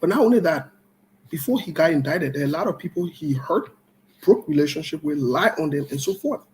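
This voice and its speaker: A man with a slightly higher voice hosts an online political talk show. He talks in a loose, conversational way, answering viewer comments live. He is blunt and combative, jumping from loud scolding to quiet, low-key remarks, with faint ambient noise in the background. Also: animated, brisk, rough recording.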